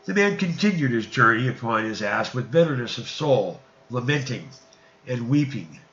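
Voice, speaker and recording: Guy reading old bible-style stories aloud, solemn, kinda muffled lo-fi audio, medium pitch. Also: big pitch swings, normal speed, a bit bright, roomy sound. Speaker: narrator. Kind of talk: amateur scripture audiobook reading.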